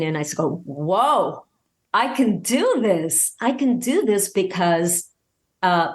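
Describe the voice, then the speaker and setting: A woman with a slightly low voice chats as a guest on a podcast. She sounds keen and excited, talking in a halting, conversational way and doubling back on her words. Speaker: podcast guest, audio drama creator. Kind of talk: casual interview chat.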